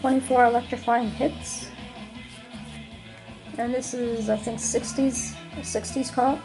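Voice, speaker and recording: Hoarse woman, higher voice, rambling casual record-collector vlog, faint music behind. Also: steady tone, unhurried, low-quality recording. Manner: chatty, rambling, many ums and restarts. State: hoarse, late-night tired. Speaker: vinyl collector vlogger. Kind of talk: casual show-and-tell vlog.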